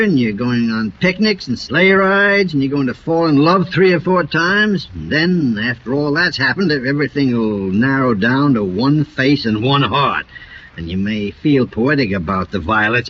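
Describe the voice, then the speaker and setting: A man playing a kindly older small-town mayor, gentle and fatherly, in 1940s radio drama style. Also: mid-range voice, lively intonation, normal speed, natural voice. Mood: gentle, amused. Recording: muffled, hissy old radio recording.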